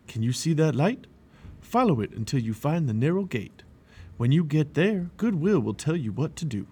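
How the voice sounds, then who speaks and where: A kind old guide from a children's story, acted by a man. He speaks up with reassurance and certainty, his pitch moving expressively. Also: big pitch swings, moderate pace, warm tone, breathy edge. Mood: kindly, assured.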